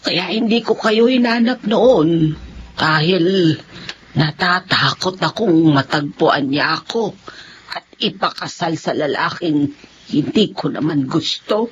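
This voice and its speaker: An actress playing a weary, tender older sister in a Filipino radio drama, speaking plaintively with a Filipino accent. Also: female voice, sits low, takes it slowly. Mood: earnest recollection. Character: long-lost older sister, weary, tender, once a runaway. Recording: muffled, noisy radio broadcast.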